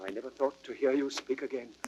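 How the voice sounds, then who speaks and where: An actor playing a solemn, grieving, proud young prince with stately, declamatory verse delivery in a classical Shakespearean radio drama. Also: male voice, mid-range voice, fairly even intonation, quick pace, mellow, a little hiss or hum, natural voice. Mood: pleading. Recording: dull, band-limited old record sound.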